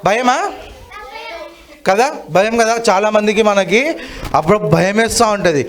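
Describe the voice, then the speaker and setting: A man's voice: high voice, measured pace, fairly bright tone. A man teaches a children's Bible lesson interactively, asking questions and prompting repetition. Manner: asks questions and has children repeat.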